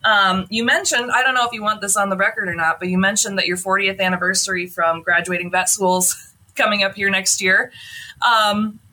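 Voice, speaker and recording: A woman's voice: mid-range voice, steady tone, brisk, bright, forceful tone, low-quality recording. A young woman hosting a podcast, chatty and full of fillers as she introduces her guest. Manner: chatty, many fillers and restarts. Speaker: podcast host. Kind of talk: casual interview intro.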